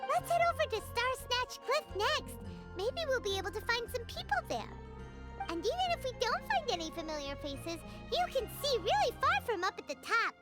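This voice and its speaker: Tiny, very high-pitched chirpy fairy companion. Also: female voice, big pitch swings, moderate pace. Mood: eager. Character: small, chirpy floating fairy companion, eager and bossy. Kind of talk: English video game voice acting. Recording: loud music underneath.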